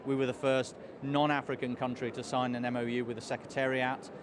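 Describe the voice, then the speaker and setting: A hoarse man, a trade commissioner, answering interview questions in a measured way with many fillers. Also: mid-range voice, flat delivery, a crowd in the background. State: hoarse, losing his voice. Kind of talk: live outdoor interview answers. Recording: street noise behind.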